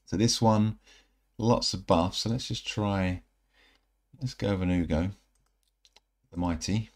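A man in his thirties giving casual, rambling commentary over mobile game footage, a little croaky from a night out. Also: low voice, slow. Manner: relaxed, rambling, self-correcting. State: slightly croaky from singing. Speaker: gaming channel host. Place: indoor room at home.

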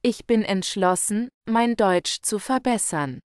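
A woman slowly reading German example sentences for learners, carefully enunciated and repeated. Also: medium pitch, lively intonation, a little mellow, clear, resonant tone, no room sound, studio quality. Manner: carefully enunciated, each phrase read twice. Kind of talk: slow, clear model sentences for learners.

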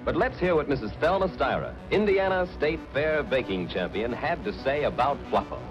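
A man's voice: mid-range voice, lively intonation, moderate pace, highs cut off. An American man announcing a product in the style of a 1950s television advertisement. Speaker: commercial announcer. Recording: vintage television advert with music.

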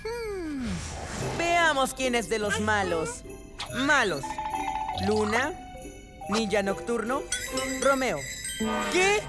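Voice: medium pitch, sing-song, very slow, long pauses, over loud music. A performer voicing a petulant, scheming boy mad scientist in a children's cartoon, theatrical and whiny. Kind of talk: animated children's show dub.

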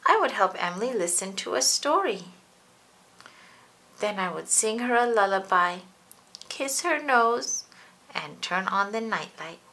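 A woman reading a children's picture book aloud, expressive and animated. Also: medium pitch. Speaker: storybook reader.

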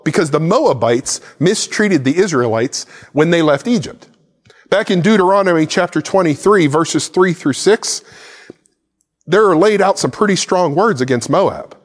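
A man's voice: a little high, big-room sound. A man in his forties preaching a sermon to camera from a church stage, gesturing with a Bible in hand. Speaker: pastor. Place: church stage set with plants and a cross.

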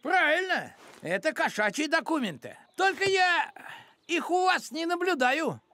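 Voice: high voice, very animated, measured pace, sharp tone, no room sound, full character voice. An actor voicing a fussy, officious male village postman in a children's cartoon, pedantic in manner. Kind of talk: animated children's cartoon acting.

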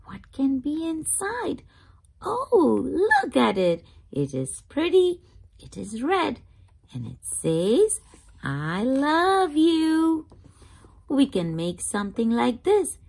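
A woman reading a picture book aloud to young children, expressively, pausing to ask simple questions like a teacher. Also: sits high, creaky, a little mellow, slightly rough. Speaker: host of children's read-aloud channel.

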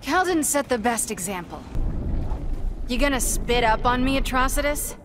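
A woman's voice: high voice, animated, normal speed, noisy background. A woman playing a plucky, defiant young superheroine from another planet, cheeky and confident in video game fight banter.

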